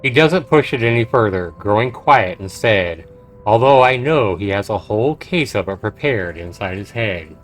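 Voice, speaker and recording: A man reading a visual novel aloud on a live game stream, with some halting pauses and stumbles. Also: on the low side, animated, a bit bright. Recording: game music behind. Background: video game music.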